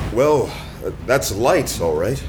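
A man's voice: medium pitch, background noise. Man acting a wry private eye, swinging intonation. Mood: exasperated, bantering. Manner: deadpan wordplay. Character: wisecracking hardboiled male private detective, dry and wry. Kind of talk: noir radio drama acting with narration.

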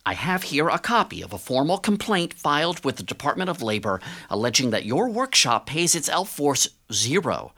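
An actor playing a smug morning-show TV interviewer in an audio drama, fawning one moment and prosecutorial the next, with a slick delivery. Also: male voice, fairly high pitch, big pitch swings, normal speed, hardly any pauses, sharp tone, full character voice.

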